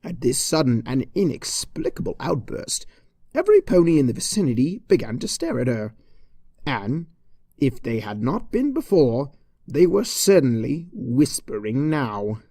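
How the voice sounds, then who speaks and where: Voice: medium pitch, sing-song, unhurried, dark tone, no echo, studio quality. A narrator reading a scripted comic story with dramatic storybook delivery. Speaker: narrator, fan fiction reader.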